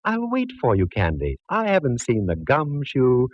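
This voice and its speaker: An actor playing a genteel, droll, affectionately fussy gentleman friend, with an arch theatrical manner, in a 1940s radio drama. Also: mid-range voice, huge pitch swings, moderate pace, slightly rough, no echo. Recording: muffled, noisy 1940s radio recording.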